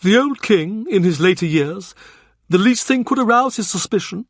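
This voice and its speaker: A man's voice: sits high, lively intonation, moderate pace, no echo, clean studio sound, pitched above the performer's own voice. A man voicing an urbane, shrewd older cardinal who reminisces in a genial, confiding way. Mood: animated. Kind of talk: audiobook character reading.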